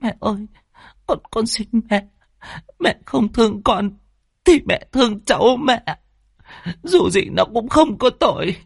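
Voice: mid-range voice, expressive, a little slow, creaky, long pauses, breathy edge, dry recording, studio quality, pitched above the performer's own voice, heavily acted. A storyteller voicing a meek, frightened young daughter, stammering and begging tearfully. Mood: tearful pleading. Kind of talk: dramatic audio storytelling.

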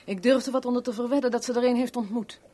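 A woman's voice: a little high, steady tone, quick pace, dark tone, lightly acted. An actress playing a worldly, sardonic kept woman in a 1970s radio play, with a wry, teasing, blunt way of talking. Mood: cynical, frank.

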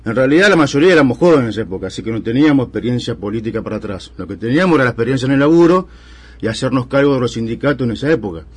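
An Argentine man in his thirties answers radio interview questions about his life and union work. He talks in long, rambling runs, full of fillers and restarts, at an ordinary middle pitch. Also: animated, very fast, husky. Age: 30s. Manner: rambling, filler-heavy storytelling. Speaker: union leader guest.

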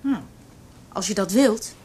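A woman's voice: mid-range voice, takes it slowly, dark tone, slight background noise, lightly acted. A woman playing a reproachful character in a radio drama, asking short, pointed questions. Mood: guarded. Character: reproachful woman facing a long-vanished man. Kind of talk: Dutch radio drama acting.

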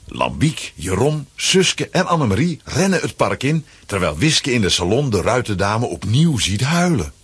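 A man narrating a children's audio story from a script, with a raspy voice. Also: medium pitch, big pitch swings, normal speed.

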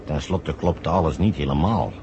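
An actor playing a sly, smug conspirator who calmly gives orders in a vintage radio play. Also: male voice, very deep voice, normal speed, muffled recording, noisy background. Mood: calm, calculating. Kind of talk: 1970 radio play acting.

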